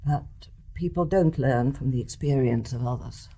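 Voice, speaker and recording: An older actress playing a wise, tolerant elderly mother in a radio play, speaking in a reflective, genteel, gently reasoning manner. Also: rumbling low, moderate pace, breathy edge. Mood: wistful reminiscence. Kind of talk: measured radio drama acting.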